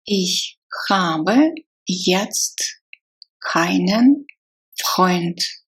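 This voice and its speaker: A woman teaching phrases in a slow, repetitive drill, saying each phrase several times with a translation. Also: on the low side, expressive, husky. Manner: repeats each phrase slowly, then gives the translation. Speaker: language teacher.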